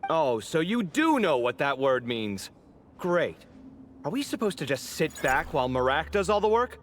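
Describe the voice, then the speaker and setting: A man's voice: on the high side, big pitch swings, normal speed, a bit bright, slight background noise. An actor playing an exasperated young man on a desert expedition in a video game, griping expressively. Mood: indignant.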